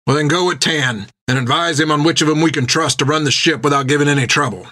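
A man voicing a gruff, foul-mouthed pirate captain who barks orders bluntly in an audiobook adventure. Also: on the high side, fast talker, pushed and bright, pitched above the performer's own voice. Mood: wary.

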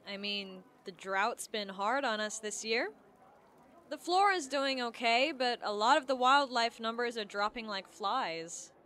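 A woman's voice: fairly high pitch, expressive, measured pace, fairly bright tone. A woman playing a skeptical, plain-spoken park ranger in an audio drama, with a dry, folksy manner. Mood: dry, guarded.

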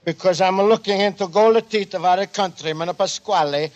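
A man's voice: high-pitched, moderate pace, dull audio, close to an everyday voice. An actor playing an earnest, naive Italian immigrant man who speaks broken English with an Italian accent in a 1940s radio sitcom. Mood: wistful, hopeful. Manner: broken English, simple explaining phrases. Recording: noisy vintage 1940s radio recording.

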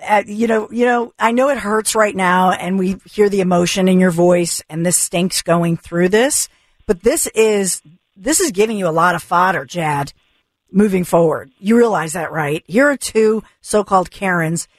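A woman hosting a talk radio show, animated and teasing, laughing often as she talks with her guest. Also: mid-range voice, normal speed, dry recording.

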